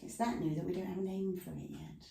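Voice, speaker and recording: Mellow-voiced woman coaching stretches. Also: medium pitch, fairly even intonation, quick pace. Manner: chatty, talks through the stretches with asides. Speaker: stretching class instructor. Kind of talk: guided exercise instruction. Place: room at home with a dog nearby. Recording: echoey room, mic far off.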